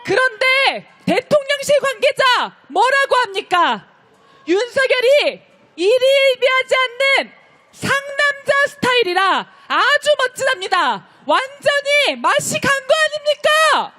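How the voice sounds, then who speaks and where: A woman leading a street protest, delivering impassioned, declamatory speech and shouted chants to the marchers. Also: very high-pitched, very animated, unhurried. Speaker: rally host leading chants. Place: outdoor street march beside a park.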